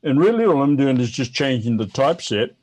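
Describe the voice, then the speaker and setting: A man's voice: mid-range voice, sing-song, a little fast, a little mellow. Older kiwi guy in his 70s just chatting on a video call, explaining stuff, stops and starts a lot. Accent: New Zealand. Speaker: guest, veteran amateur radio operator. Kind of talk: casual explanatory chat. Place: home office, indoors.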